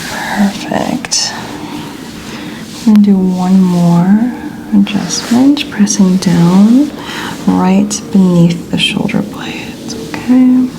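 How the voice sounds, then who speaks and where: A woman speaking in a breathy, soothing whisper, gently reassuring as she plays a chiropractor in a soft-spoken ASMR roleplay. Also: mid-range voice, takes it slowly, noisy background. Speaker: ASMR creator playing a chiropractor.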